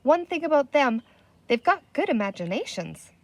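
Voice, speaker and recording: A woman's voice: high-pitched, big pitch swings, moderate pace, dry recording, muffled recording, pitched above the performer's own voice. A woman reading a children's storybook in the part of a bossy, exasperated young girl with a firm, scolding manner. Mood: realizing.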